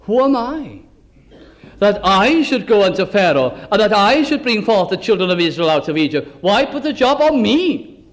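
A man with a notably high voice gives a Bible-teaching sermon. He reads scripture in its old wording, then breaks off into plain, conversational asides to explain it. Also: sing-song, normal speed. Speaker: preacher.

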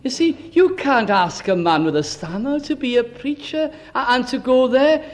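A man's voice: very high voice, animated, moderate pace. A man preaching a Bible-teaching sermon, reading old scripture aloud and then explaining it in plain, chatty asides.

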